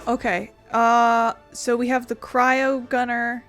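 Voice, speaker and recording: A woman's voice: on the high side, little pitch movement, slow, fairly bright tone, faint music behind. A woman giving casual let's-play commentary, thinking aloud in an informal way as she plays. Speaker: gaming video creator.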